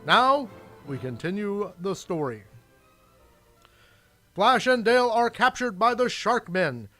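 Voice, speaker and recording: A man's voice: sits high, very animated, slow pace, bright, forceful tone, soft background music, heavily acted. A man narrating an adventure radio serial in a dramatic, theatrical storytelling style.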